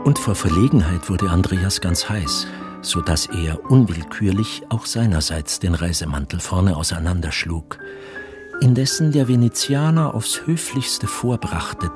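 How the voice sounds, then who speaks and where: A man narrating a literary radio drama from a script in formal, measured storytelling sentences. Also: sits low, expressive. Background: music.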